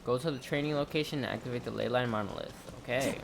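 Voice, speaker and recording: A woman's voice: rumbling low, fast, creaky, noisy background. A woman hosting a gaming video with casual, conversational commentary on her play.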